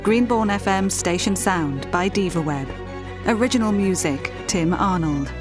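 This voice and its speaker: A woman's voice: mid-range voice, measured pace. A woman reading scripted closing credits for a radio drama as an announcer. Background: music.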